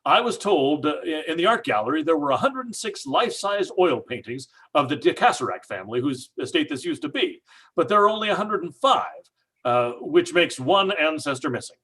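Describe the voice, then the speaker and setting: Man playing a brash blunt skeptic guy, folksy, husky, pitch jumps all over, old time radio play. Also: sing-song, a little fast, a bit bright, full character voice. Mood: indignant. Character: brash, skeptical, money-minded house buyer.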